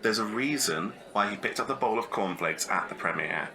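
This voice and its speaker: A man's voice: on the low side, expressive, normal speed, pushed and bright. A man acting an affable character in a light comic audio drama. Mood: hesitant.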